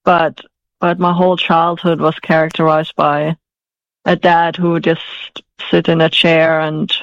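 A woman's voice: sits low, little pitch movement, measured pace, dry recording, highs cut off. Hesitant woman raised in Denmark. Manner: hesitant, many fillers and restarts. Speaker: guest, author. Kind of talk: interview answers.

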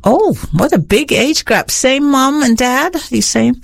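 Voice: high voice, lively intonation, unhurried, gravelly, no room sound. An interviewer asking questions and offering frequent short affirmations.

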